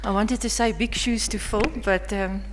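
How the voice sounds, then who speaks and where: A woman chairing a conference session, thanking the speaker in a slow, hesitant way with fillers. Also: medium pitch, steady tone. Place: large conference hall. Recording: distant mic, hall reverb, crowd and hum.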